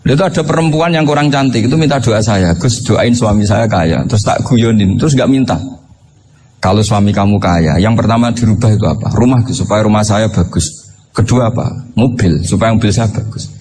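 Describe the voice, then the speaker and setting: A man's voice: on the low side, fairly quick, a little mellow, a little hiss or hum. A man from Indonesia with a Javanese accent preaches informally as a storyteller, conversational and joking, often posing rhetorical questions. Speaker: Islamic preacher. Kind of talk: informal sermon with humorous storytelling.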